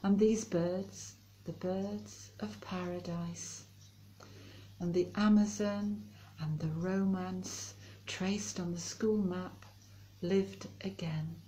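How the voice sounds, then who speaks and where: A woman reciting poetry in a slow, measured delivery as a reader. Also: lowish voice, fairly even intonation, warm tone, poor audio. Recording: faint background noise and ambience.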